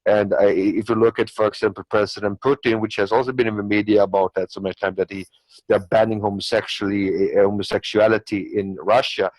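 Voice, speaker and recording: A man's voice: fairly low pitch, level tone, fairly quick, a little mellow, no room sound, poor audio. A man who is not a native English speaker, arguing his opinions in an unscripted discussion in a rambling, repetitive way. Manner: rambling, repetitive argument with hypothetical examples. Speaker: panelist.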